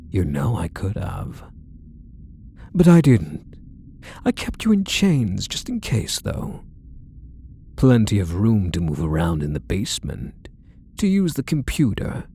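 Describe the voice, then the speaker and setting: Man acting a slow, swooping possessive yandere husband. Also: mid-range voice, sing-song, a little hiss or hum. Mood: patronising, controlling. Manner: soft, coaxing, condescending endearments, lingering phrases. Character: possessive, doting male yandere stalker husband, sweetly menacing. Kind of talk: intimate ASMR roleplay audio drama.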